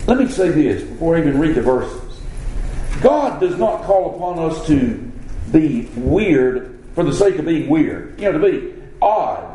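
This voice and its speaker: A man's voice: on the high side, big pitch swings, a little slow, dark tone, reverberant, poor audio. A man from the southern United States with a Southern accent, preaching a sermon in a folksy, conversational way with many fillers. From born South Alabama, grew up North Florida.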